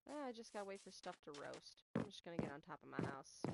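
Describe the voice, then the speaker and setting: A woman's voice: on the low side, sing-song, fast, creaky, very noisy. A young woman who grew up speaking Spanish chats casually while playing a game. She has a stutter and rambles, switching between talking to her viewers and reacting to the game. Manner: rambling, talks to chat and to the game at once. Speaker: streamer. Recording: game music playing behind.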